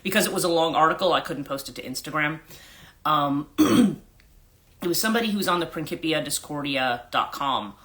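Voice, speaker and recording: Husky congested woman hosting a livestream. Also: expressive, fairly quick, a bit bright. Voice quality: husky, a register lower than usual. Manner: rambling, many fillers, throat clearing, greets viewers. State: congested with allergies. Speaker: life coach hosting a livestream. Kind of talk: casual morning livestream chat.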